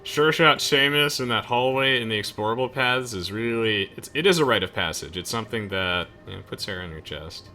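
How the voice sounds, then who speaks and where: A man's voice: mid-range voice, fairly quick, hardly any pauses, sharp tone, slight background noise. A young man giving casual, chatty, enthusiastic game commentary as host. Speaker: host of a let's play.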